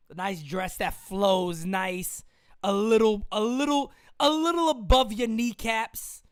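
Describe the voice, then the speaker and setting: An excitable man hosts a live stream. He drops to a mutter and then bursts into yelling. His voice sounds strained from the shouting. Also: very high voice. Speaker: live-stream sports show host. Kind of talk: casual live-stream commentary.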